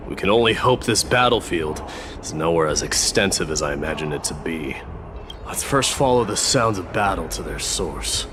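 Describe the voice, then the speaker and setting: An actor playing a stern young male warrior prince in a game, speaking in a calm, reserved, clipped way. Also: low voice, measured pace. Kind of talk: game voice acting. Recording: music under the voice.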